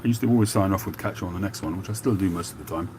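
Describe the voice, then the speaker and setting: Deep-voiced London man, unhurried chat. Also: noisy background. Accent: London, Cockney with a North London mix. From England. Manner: slow, unhurried, with many filler pauses. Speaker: livestream host. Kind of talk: relaxed live chat.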